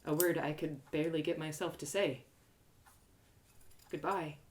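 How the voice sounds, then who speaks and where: A woman reading a first-person fantasy story aloud in an expressive, theatrical and lyrical way. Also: low voice, fairly quick, slight background noise.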